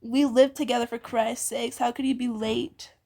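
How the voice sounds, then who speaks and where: A woman's voice: a little high, steady tone, normal speed, creaky, dark tone. A young woman reading fan fiction aloud casually, giggly and often breaking off into banter. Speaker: amateur fan fiction reader and co-host.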